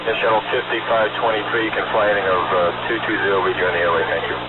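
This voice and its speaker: A man speaks as an air traffic controller in brisk, businesslike radio phrasing. His voice is slightly low and the words come very quickly. The sound is narrow and tinny, like a radio transmission, with heavy noise over it. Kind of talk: rapid clipped radio instructions. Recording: noisy narrowband aviation radio recording. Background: radio static and noise.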